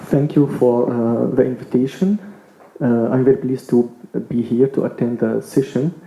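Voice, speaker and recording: A man's voice: medium pitch, steady tone, normal speed, dark tone. A native Arabic-speaking man with an Arabic accent, giving formal remarks as a panelist. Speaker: conference panelist. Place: indoor conference panel. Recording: echoey conference room.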